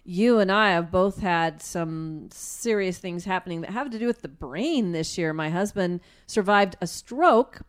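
A woman's voice: fairly low pitch, unhurried, hardly any pauses, smooth. A woman in casual radio conversation, speaking in an earnest, reflective way.

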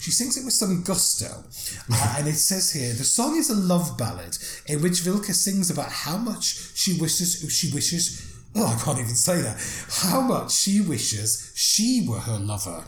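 A man co-hosting a relaxed podcast conversation. His pitch rises and falls a lot, and he laughs readily between facts. His voice carries a roomy echo. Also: measured pace. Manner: chatty, laughs often, stumbles over foreign names. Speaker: podcast co-host. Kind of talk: casual chat.

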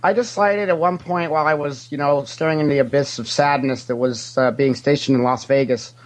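A man's voice: on the high side, steady tone, a little fast, close to an everyday voice, rough recording. A man playing a cheerfully shameless, greedy Ferengi alien money investigator, talking in a hesitant, rambling, matter-of-fact way in an improvised interview. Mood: shamelessly mercenary. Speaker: interview guest. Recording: internet call connection.

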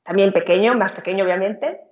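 A woman's voice: medium pitch, a little fast, a little mellow. Woman in a roomy room. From Vigo, Galicia, Spain. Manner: chatty, hesitant fillers, light laughs. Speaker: interview guest, teacher. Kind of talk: casual conversational interview. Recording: roomy, dull lo-fi sound.

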